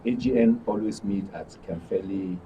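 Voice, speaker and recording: A man's voice: on the low side, moderate pace, highs cut off. A man with a Nigerian accent gives informational answers as a studio guest, repeating key details for emphasis. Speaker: studio guest, actors' guild administrator. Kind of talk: unscripted informational interview answer. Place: radio and TV studio. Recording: distant microphone with faint background noise.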